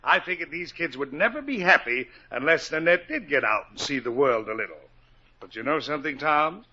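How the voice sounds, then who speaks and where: A man's voice: on the high side, animated, brisk, bright, forceful tone, a little hiss or hum. A sly scheming middle-aged man. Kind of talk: 1940s radio acting. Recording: dull 1949 radio broadcast.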